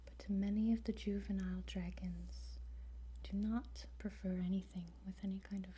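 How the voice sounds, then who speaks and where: A woman softly whispering an ASMR roleplay as an attentive dragon training guide, gentle and halting, with frequent pauses. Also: mid-range voice, steady tone. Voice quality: soft, breathy whisper.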